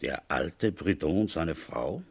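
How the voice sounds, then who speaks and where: A world-weary village police commissioner in a radio crime play. His voice is husky and swings widely in pitch as he grumbles along conversationally. He sounds gruff and tired of his sleepy post. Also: expressive, slow pace, full character voice. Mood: musing storytelling. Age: middle-aged. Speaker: narrator and lead character. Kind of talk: German radio crime play, first-person storytelling.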